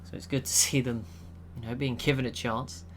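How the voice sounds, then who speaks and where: A young man giving relaxed, chatty commentary over a strategy game he is watching, laughing to himself now and then. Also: mid-range voice, fairly quick, slight background noise, low-quality recording. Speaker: gaming channel host.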